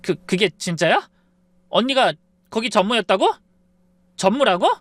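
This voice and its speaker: A bratty, snobbish grown daughter with a whiny, accusing tone, as a male narrator puts her on. The voice jumps well above his own and turns loud and sharp. The recording is close and dry. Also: high voice, sing-song, moderate pace, no room sound, pitched above the performer's own voice, exaggerated voice. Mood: shocked. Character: spoiled, shrill adult daughter, snobbish and bratty.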